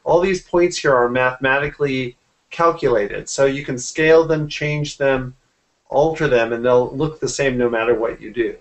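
A man's voice: mid-range voice, normal speed. A man in his forties, a graphic artist, casually explaining his work in an interview over a video call from a room at home. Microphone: computer mic on a video call.

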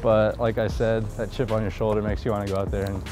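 A man's voice: fairly low pitch, quick pace, over music. A young man, a college baseball player, chatting casually in an interview while walking outdoors on a campus. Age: young adult. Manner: relaxed, laid-back, lots of fillers.

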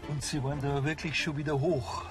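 An actor playing a dry, skeptical police officer who speaks colloquially with a Bavarian accent. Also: male voice, fairly high pitch, level tone, fairly quick, music in the background, lightly acted.

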